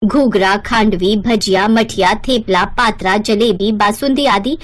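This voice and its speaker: A woman's voice: mid-range voice, a little slow. Woman narrating a moral story.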